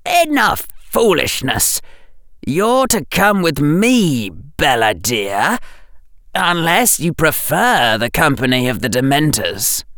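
A man voicing a cold, imperious dark lord in a boy's body, giving clipped, chilling commands in an audiobook. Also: high-pitched, big pitch swings, slow pace, gravelly, no echo, clean studio sound, voice pushed up, full character voice. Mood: cold, menacing.

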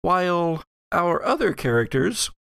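A man's voice: high voice, big pitch swings, unhurried, vocal fry, a bit bright, smooth, no room sound, studio quality. A man speaking as host and game master of an actual-play podcast. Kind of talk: reading and casual chat on an actual-play podcast.

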